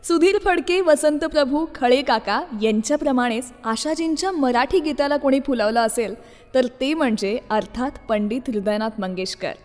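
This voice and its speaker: A woman's voice: sits high, normal speed, darkish tone, in a large hall. A woman presenting a scripted radio show as its host.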